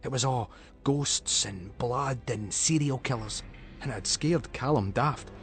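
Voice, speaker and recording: A man's voice: mid-range voice, measured pace, faint music behind. A man with a Scottish accent giving a dramatic, suspenseful narration of a story in colloquial Scots dialect.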